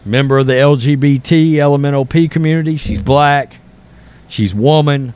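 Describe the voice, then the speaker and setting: A man's voice: on the high side, moderate pace, a little mellow, clear, resonant tone. A man hosting an online commentary channel, reading and reacting to news in a blunt, sarcastic, exasperated way. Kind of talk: opinionated political commentary mixed with reading news aloud.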